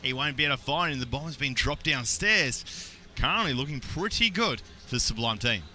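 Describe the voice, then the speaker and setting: A young adult man with an Australian accent doing play-by-play esports commentary, getting excited on big plays. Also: medium pitch, a little fast, slight background noise. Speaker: esports caster.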